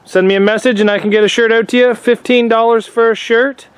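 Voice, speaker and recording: A young man with a noticeably high voice talks casually. He is stuffy and sniffling from a cold. His speech rambles along, full of easygoing fillers. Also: steady tone, a little fast, noisy background. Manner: laid-back, rambling, frequent "uh" fillers. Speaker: demolition derby builder and vlogger. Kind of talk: casual vlog chat. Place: home workshop or garage.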